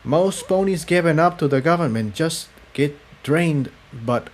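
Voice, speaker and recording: A man puts on a weary mare who has defected, in a storybook reading. The voice is lifted in pitch, slow and hesitant, with each word carefully chosen. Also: lively intonation. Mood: hesitant, sad. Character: weary, hesitant female pony defector explaining herself.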